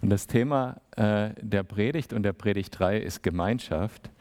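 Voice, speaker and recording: A man giving a sermon in a relaxed, conversational way, with many fillers and little acted-out exchanges. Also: lowish voice, moderate pace. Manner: conversational, acts out small dialogues. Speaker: preacher.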